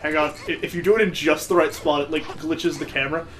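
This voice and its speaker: A man's voice: on the high side, steady tone, fast, fairly bright tone. A man chatting casually and sarcastically as he plays and comments on a video game. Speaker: gaming video co-host playing the game. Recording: game music behind the voice. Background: video game music.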